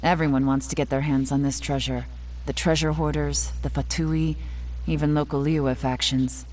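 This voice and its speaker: A woman voicing a composed, analytical investigator in a video game, speaking in a measured, deliberate way. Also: sits low, steady tone, darkish tone, close to an everyday voice.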